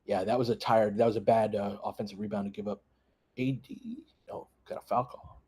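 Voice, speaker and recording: A man's voice: on the low side, fairly even intonation, a little fast, mellow, husky. A man hosting a fan livestream, giving off-the-cuff, conversational play-by-play of a basketball game and chatting with listeners. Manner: conversational, off-the-cuff, talking in short clipped bursts. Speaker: sports livestream host doing fan play-by-play. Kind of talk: casual running basketball commentary, chatting with listeners.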